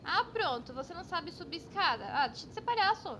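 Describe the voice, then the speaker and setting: A woman's voice: very high voice, big pitch swings, fairly quick, sharp tone, slight background noise, full character voice, rough recording. A woman playing a bossy, exasperated young painter in a cartoon comedy, scolding in a Brazilian accent. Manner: scolding, exclamatory. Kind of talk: cartoon sketch comedy dialogue.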